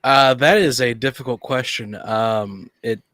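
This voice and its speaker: A man's voice: mid-range voice, unhurried, a bit bright, no echo. Man chatting casually, dry close sound. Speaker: podcast guest. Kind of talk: casual panel discussion.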